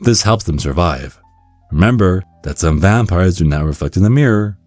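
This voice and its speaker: A man reading a scripted ASMR guide in a measured way, with wry asides. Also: low-pitched, expressive, a little mellow. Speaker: ASMR narrator and performer. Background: music.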